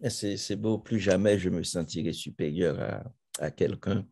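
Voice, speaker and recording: A man hosts the interview with a very deep, mellow voice. He searches for his words and keeps restarting phrases as he goes. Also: measured pace, creaky, slightly rough. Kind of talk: interview conversation.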